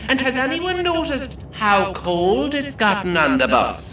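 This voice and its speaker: A theatrical tour guide relishes every macabre detail in a horror comedy drama. The voice is bright and very animated, taunting and sardonic, with menacing pauses. It sounds roomy and a little distant. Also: male voice, very high-pitched, a little hiss or hum, exaggerated voice. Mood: sardonic menace. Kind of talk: scripted audio horror comedy acting.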